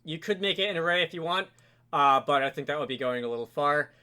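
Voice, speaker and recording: Bright-voiced man giving a casual tutorial. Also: fairly high pitch, fast, clean tone. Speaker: tutorial host. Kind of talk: casual software tutorial narration.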